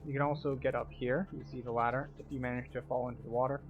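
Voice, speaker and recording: A man's voice: mid-range voice, flat delivery, fast, lo-fi sound. A man giving methodical, instructional commentary as the tour guide of a video game walkthrough. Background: game music.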